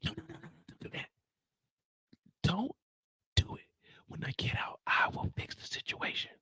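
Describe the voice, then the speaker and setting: A man's voice: extremely high pitch, lively intonation, creaky, husky, dry recording, voice pushed up, exaggerated voice. A man voicing a nervous jailed man who whispers frantically into a smuggled phone. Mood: desperate whisper. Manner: hushed, rushed whisper.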